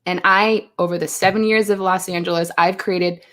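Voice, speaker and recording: A woman's voice: on the low side, normal speed. A woman from Belgium, a choreographer, chatting as a guest on a live show and telling stories with quoted asides. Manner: chatty, animated storytelling with acted-out quotes. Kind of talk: casual interview chat. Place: home, live stream.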